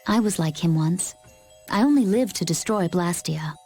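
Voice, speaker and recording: Calm warrior lady for a game, mellow voice, composed n measured, light music behind. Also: on the low side, husky. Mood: composed, serious. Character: poised, cool-headed young woman warrior. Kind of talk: English game dub voice acting.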